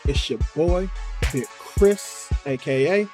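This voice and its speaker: A man's voice: on the high side, takes it slowly, music in the background. A man chatting casually and informally as a video host, with slang and swearing as he reacts to a game trailer.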